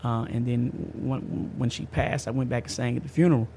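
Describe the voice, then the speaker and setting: Gravelly-voiced man in his fifties. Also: mid-range voice, fairly even intonation, a little fast, warm tone, slight background noise. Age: 50s. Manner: emphatic, slangy, repeats himself. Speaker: podcast host. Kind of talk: casual personal testimony.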